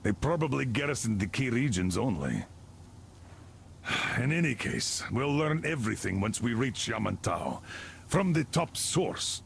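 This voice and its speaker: An actor playing a stern older military commander who briefs with brisk authority in a video game. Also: male voice, medium pitch, sing-song, vocal fry, slight background noise. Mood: resolute.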